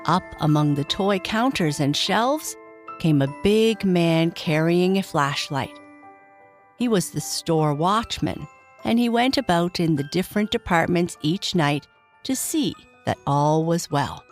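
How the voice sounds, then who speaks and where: A woman narrating a children's bedtime story in an expressive, scripted storytelling style. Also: lowish voice, a little slow. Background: music.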